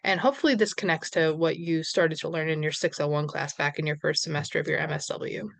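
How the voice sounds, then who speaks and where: A woman with a New York accent delivers a recorded social work lecture, chatty and unscripted, with fillers and restarts. Her voice sits low and is recorded close and dry. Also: steady tone, fast, creaky, no room sound. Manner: conversational, with fillers and self-corrections.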